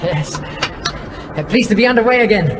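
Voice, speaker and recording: Fussy eager navy weapons officer, high lively voice, radio play, big echoey space. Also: male voice, a little fast. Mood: eager, deferential. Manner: deferential, fussy, doting. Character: eager, obsessive male missile officer doting on his missiles. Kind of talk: radio drama acting. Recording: noisy radio drama, long reverberant space.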